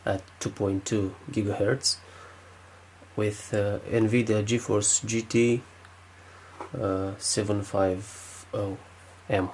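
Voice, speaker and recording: Slow, hesitant Romanian-accented man. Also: lowish voice, little pitch movement, slight background noise. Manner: hesitant, many fillers and restarts. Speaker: tech video maker. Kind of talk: unscripted desk demonstration.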